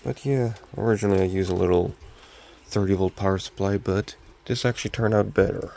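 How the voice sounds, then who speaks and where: A young man with a low, gravelly voice, scratchy as he gets over being sick. He chats casually and off the cuff, like a hobbyist showing off a collection. There is some background noise around him. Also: steady tone, brisk, dark tone. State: recovering from illness, scratchy voice. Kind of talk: casual show-and-tell chat.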